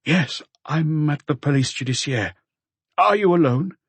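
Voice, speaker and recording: A man's voice: fairly high pitch, unhurried, slightly rough, no echo, voice pushed up. A man voicing a shaken middle-aged husband confessing to murder, hesitant and subdued, in an audiobook. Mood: tense, confessing.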